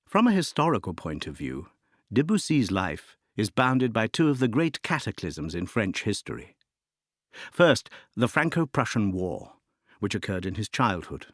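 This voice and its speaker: A man narrates educational material in a fairly low voice that moves widely and expressively in pitch. His delivery is scripted, articulate and expository, shaped with rhetorical phrasing. The sound is dry, with very little room around the voice. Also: very animated, moderate pace, no echo. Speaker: narrator of a music introduction.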